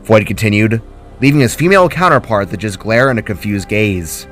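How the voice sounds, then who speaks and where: A man's voice: fairly low pitch, animated, a little fast, fairly bright tone, faint music behind. A man reading his own fantasy story aloud as dramatic scripted narration.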